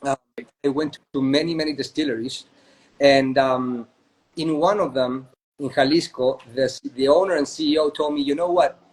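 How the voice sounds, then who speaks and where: A man's voice: mid-range voice, steady tone, normal speed, darkish tone, rough recording. A man from Chile, a spirits company co-founder, telling a long story in a casual interview over a video call, often checking in with "right?". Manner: chatty, often adds "right?".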